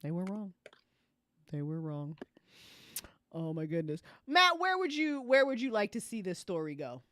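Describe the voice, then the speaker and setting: Casting: female after-show host, bright voice, informal and joking, repeats herself for emphasis, animated pitch. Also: normal speed, no room sound. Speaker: after-show host and fiction podcast writer. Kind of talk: casual, joking group chat.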